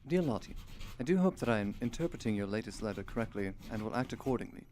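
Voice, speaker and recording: An actor playing a courteous, affectionate Victorian older brother in a radio drama, reading letters in formal, measured, polite diction. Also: male voice, on the low side, expressive, darkish tone, close to an everyday voice. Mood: tender.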